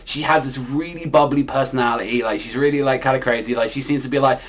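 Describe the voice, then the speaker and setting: A man's voice: mid-range voice, fairly even intonation, fast. A man giving a casual, unscripted anime review, talking in a rambling, repetitive way with a habit of filler words.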